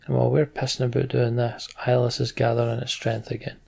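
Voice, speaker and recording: A man's voice: medium pitch, completely flat delivery, fairly quick, vocal fry, a little mellow, husky. A man giving casual, muttering, mildly sweary commentary as he plays a video game. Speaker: let's player. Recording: game music behind the voice. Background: game music.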